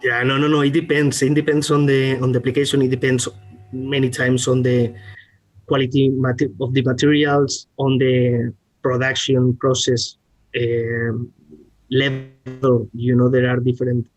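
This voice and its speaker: A man with a Spanish accent giving a halting technical explanation as a guest expert, often restarting his words. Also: medium pitch, flat delivery, poor audio. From Spain. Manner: halting, searching for words with repeated starts. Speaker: R&D director, podcast guest. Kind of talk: technical presentation and discussion.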